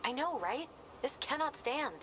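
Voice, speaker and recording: A woman's voice: sits high, lively intonation, a little slow, background noise. An actress playing a wry, affectionate young American woman in a video game, chatty and dryly playful. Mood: amused. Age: young adult. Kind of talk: naturalistic video game dialogue.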